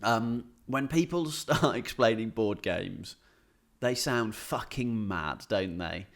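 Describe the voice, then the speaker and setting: A man's voice: fairly low pitch, slow pace, vocal fry. A British man in casual comedic chat as a podcast co-host, telling anecdotes, often chuckling and swearing casually as he talks.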